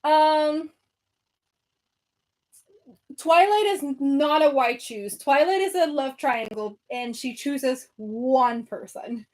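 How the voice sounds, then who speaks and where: A young woman with a Venezuelan accent talks to her viewers in a loose, rambling way, full of fillers and restarts. Her voice sits a bit high for a woman. She is at home, sorting books on her shelves as she talks. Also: slow pace, long pauses, a little mellow. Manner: chatty, rambling, lots of fillers. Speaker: book vlogger. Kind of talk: casual chat with live viewers.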